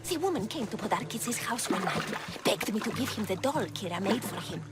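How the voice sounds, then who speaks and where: An actress playing a sly, fearful informant in a video game, hushed, secretive and teasing. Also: female voice, mid-range voice, expressive, brisk, over loud music, lightly acted. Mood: knowing. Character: cryptic, furtive female informant, sly and fearful. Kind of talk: video game cutscene acting.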